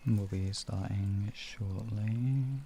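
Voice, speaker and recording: A man with a deep voice hosting a relaxation stream, chatting informally and gently giving guided instructions. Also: slow, slight background noise. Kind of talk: casual chat moving into guided relaxation and hypnosis instruction.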